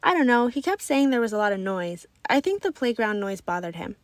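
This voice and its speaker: A woman voicing a curious, earnest child in an expressive, questioning way. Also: on the high side, brisk, no echo. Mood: worried, thoughtful. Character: curious, earnest child narrator who dreams of space.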